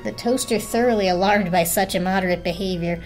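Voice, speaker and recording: Female storyteller, medium pitch, dramatic scripted narration, faint music underneath. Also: fairly quick, poor audio.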